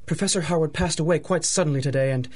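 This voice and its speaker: Manor butler: formal, measured, courteous, lighter-pitched, 1930s radio mystery style. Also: male voice, darkish tone, husky. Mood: polite, concerned. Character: formal, deferential male butler of a manor house.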